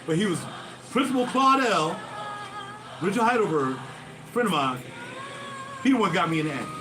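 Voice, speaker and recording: A man's voice: high voice, lively intonation, normal speed, noisy background. A man rambling through stories to his livestream viewers, often drifting off topic and losing his thread. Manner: digressive, loses his thread, talks to viewers directly. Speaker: musician hosting a livestream. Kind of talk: casual rambling livestream storytelling. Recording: distant mic with music playing behind.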